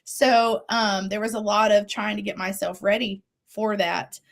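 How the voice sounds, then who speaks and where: A woman's voice: mid-range voice, fairly even intonation, a little slow, vocal fry. A woman in her forties, a singer-songwriter talking earnestly and reflectively in an interview, her voice still recovering after a loss. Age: 40s. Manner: earnest, faith-driven, with laughs and restarts.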